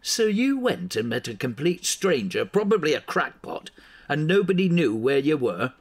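Blunt, exasperated newspaper editor. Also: male voice, a little high, very animated, normal speed, slightly rough, exaggerated voice. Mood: angry, exasperated. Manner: scolding, blunt, authoritative. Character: exasperated, bossy male local newspaper editor. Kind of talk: audio drama acting.

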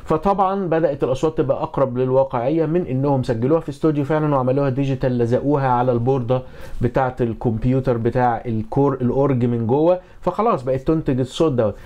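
A man's voice: mid-range voice, rapid-fire, dark tone. A middle-aged man with an Egyptian accent explaining in an informal, conversational tutorial style, posing rhetorical questions as an instructor. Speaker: music and audio engineering instructor. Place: small home music studio, indoors.

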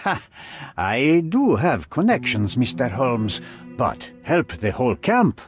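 An actor playing a smug middle-aged businessman who bargains smoothly and theatrically in a video game. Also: medium pitch, sing-song, slow, faint music behind. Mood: smug bargaining. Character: smug, scheming middle-aged male businessman with shady connections. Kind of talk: video game cutscene acting.

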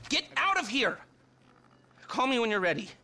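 A man's voice: very high-pitched, very animated, normal speed, bright, forceful tone, a little hiss or hum, exaggerated voice. An American actor in a video game playing a sardonic, wordy, foul-mouthed hacker in his forties. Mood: gleeful scheming. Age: 40s. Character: bitter, foul-mouthed, nerdy middle-aged male hacker. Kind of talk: video game cutscene acting.

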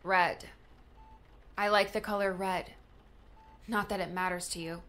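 A woman's voice: on the low side, steady tone, measured pace, fairly bright tone. A woman playing an irritated young woman in an audiobook, dry, cutting and bitterly sarcastic with her ex. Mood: cold. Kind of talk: audiobook character dialogue.

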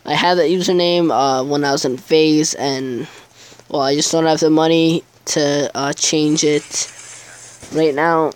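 A man's voice: a little high, fairly even intonation, a little slow, clean tone. A teenage boy chatting casually over his gameplay video, rambling and hesitant, with many fillers. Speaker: gaming YouTuber.

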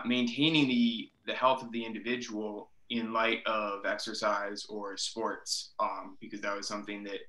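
Bright-voiced man in an echoey room. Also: on the low side. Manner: relaxed, filler-heavy questions. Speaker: podcast host. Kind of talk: casual interview hosting. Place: small home room.

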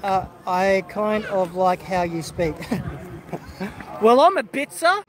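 High-voiced Australian man on a livestream. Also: sing-song, slow pace, a bit bright. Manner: rambling, full of fillers and restarts, greets viewers. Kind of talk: casual livestream chat. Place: outdoors at a football carnival. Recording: crowd noise behind.

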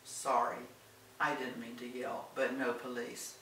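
A man's voice: mid-range voice, flat delivery, unhurried, gravelly, a bit bright, roomy sound, far from the mic, slight background noise, low-quality recording. A man reading the part of a worried, proud man in a storybook, reluctant and pleading. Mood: guarded. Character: worried, proud man hiding a troubled past.

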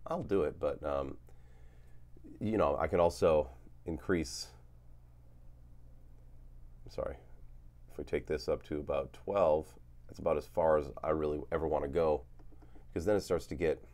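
A man in his forties, a guitar channel host, talking casually through a gear demo in a relaxed, rambling way with lots of fillers and a lingering cough. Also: extremely low pitch, moderate pace, long pauses. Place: home studio with guitars on wall.

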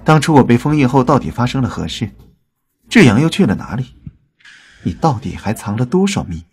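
A man acting a husky, scornful god. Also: mid-range voice, sing-song, a little fast, a little mellow, full character voice. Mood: mocking, bitter. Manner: scornful, challenging delivery. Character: proud, sardonic male god, ruthless and defiant. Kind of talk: dramatic audio drama acting.

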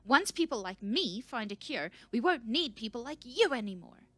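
A woman voicing a fiery, exasperated woman scientist in an audiobook, biting and shouting. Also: mid-range voice, fast, no room sound, pitched above the performer's own voice, lightly acted. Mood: furious.

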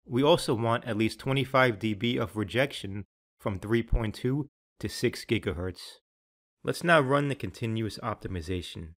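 Man narrating a scripted technical tutorial. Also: medium pitch.

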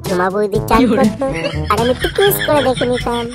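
Man acting young lecherous stoner, high pitched-up voice, cajoling slang, cartoon, loud background music. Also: animated, a little fast. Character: lecherous carefree young stoner friend, crude joker. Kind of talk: comic cartoon dubbing.

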